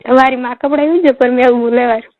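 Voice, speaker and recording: A woman's voice: high-pitched, steady tone, fast, no room sound. A woman with a Marwari accent talks casually on the phone in short replies and repeated questions. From Rajasthan, India. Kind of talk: casual private phone chat. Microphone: telephone. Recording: muffled lo-fi phone call recording.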